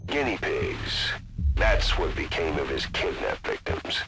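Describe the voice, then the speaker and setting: Low, dry mercenary officer. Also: male voice, sing-song, measured pace, vocal fry, very noisy, full character voice. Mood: businesslike, dry. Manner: matter-of-fact, dry. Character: businesslike, hard-bitten male mercenary officer giving reports. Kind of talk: video game radio briefing acting.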